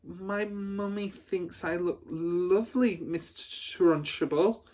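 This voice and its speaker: A man's voice: high voice, steady tone, takes it slowly, darkish tone, voice pushed up. A man voicing a terrified, stuttering schoolgirl of about ten in a children's story. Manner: timid, stuttering.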